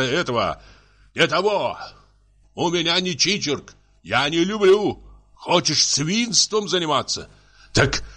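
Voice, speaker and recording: A man's voice: mid-range voice, sharp tone, slightly rough, pitched above the performer's own voice, exaggerated voice. A man voicing a tipsy, jealous, bullying husband who scolds in sputtering, tearful exclamations for a story. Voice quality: muffled, tearful, raised voice.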